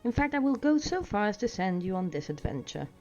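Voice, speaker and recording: A woman's voice: medium pitch, very animated, a little fast, darkish tone, pitched above the performer's own voice. Lady doing a old wizard guy from a storybook, stern n teasing, pointed wordy talk, dull muffled sound. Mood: amused, commanding. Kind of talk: storybook character reading. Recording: dull sound with music behind.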